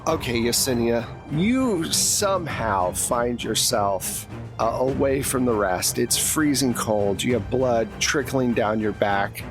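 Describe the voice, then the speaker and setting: A man's voice: mid-range voice, animated, takes it slowly. Man game master narrating over music. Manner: conversational, vivid second-person description. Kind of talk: narrating a tabletop roleplaying game. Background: background music.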